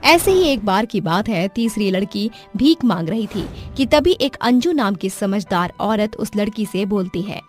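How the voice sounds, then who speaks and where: A woman's voice: medium pitch, expressive, a little slow, few pauses. Woman narrating a story over music. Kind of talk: scripted dramatic story narration. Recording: music behind the voice.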